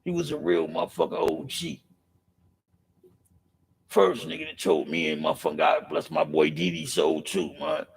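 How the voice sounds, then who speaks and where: Middle-aged man, raspy and gravelly, a bit high-pitched, emphatic, profane street talk. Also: big pitch swings, normal speed, pauses often. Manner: rambling with restarts. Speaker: podcast speaker. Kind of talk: impassioned street-life monologue.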